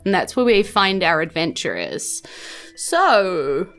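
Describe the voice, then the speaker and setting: A woman's voice: fairly low pitch, wildly swooping pitch, takes it slowly, a bit bright. A woman with a New Zealand accent improvising narration as game master of a tabletop game, in a conversational way with hesitant phrasing. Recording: music bed behind the voice.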